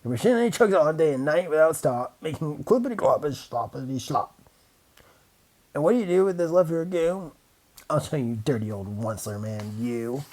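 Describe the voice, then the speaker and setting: A man's voice: fairly high pitch, pitched above the performer's own voice. A man voicing a grumpy small male forest creature in a storybook, scolding and indignant as he draws out his complaints. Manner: indignant, snapping, drawn-out complaints.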